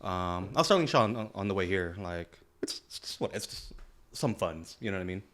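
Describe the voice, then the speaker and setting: A man's voice: deep voice, expressive, a little fast, fairly bright tone. A man co-hosting a podcast, easygoing and chatty, often repeating words of agreement. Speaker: podcast co-host. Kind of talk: casual chat.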